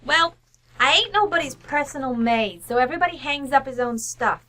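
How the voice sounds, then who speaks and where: A woman's voice: high-pitched, animated, normal speed, sharp tone. A woman playing a brash working-class housekeeper in an old-time radio comedy, blunt and slangy in her speech. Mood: bossy, impatient.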